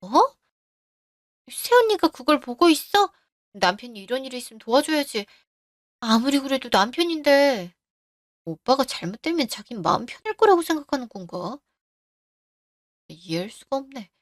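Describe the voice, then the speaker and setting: A woman voicing a selfish, sharp-tongued younger sister and young mother in a narrated radio story. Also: high-pitched, sing-song, normal speed, long pauses, dry recording, clean studio sound. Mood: prying.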